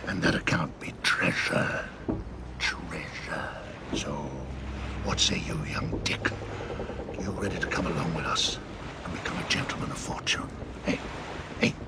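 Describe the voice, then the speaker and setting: An actor playing a sly old pirate ringleader in an audio adventure, theatrical and coaxing, often dropping into a breathy conspiratorial growl. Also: male voice, low voice, exaggerated voice. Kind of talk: dramatised audio adventure acting. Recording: noticeable background noise.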